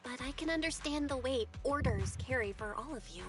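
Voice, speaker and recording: A woman voicing a composed, gracious young female agent in a video game, calm and earnest in manner. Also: high voice, fairly quick, soft background music.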